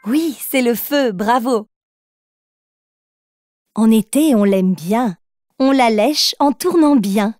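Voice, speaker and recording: A woman's voice: a little high, animated, slow pace, long pauses, darkish tone, no room sound. A woman narrating a children's cartoon, patiently reading riddles aloud and encouraging little ones to guess. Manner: patient and encouraging, speaks directly to young children. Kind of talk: gentle scripted narration for toddlers.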